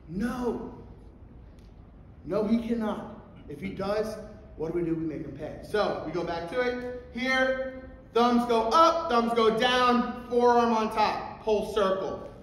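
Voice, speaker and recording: A man in his thirties teaching a wrestling clinic from a stage in a big hall, brash and energetic, firing rhetorical questions and repeating cues while demonstrating moves. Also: very high voice, slight background noise. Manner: moving and grappling while talking. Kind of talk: live coaching demonstration. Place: stage in a large indoor convention hall. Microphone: far camera across the room. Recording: very echoey hall, voice from afar.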